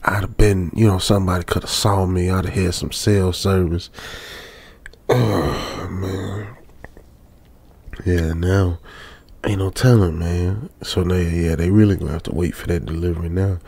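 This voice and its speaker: A man's voice: deep voice, fairly even intonation, a little slow, vocal fry, darkish tone, slightly rough, no room sound, heavily acted. An American man playing a stranded young trucker in a radio drama, uneasily muttering to himself in colloquial, repetitive phrases. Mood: frustrated, resentful. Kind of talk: old-school radio drama monologue, improvised feel.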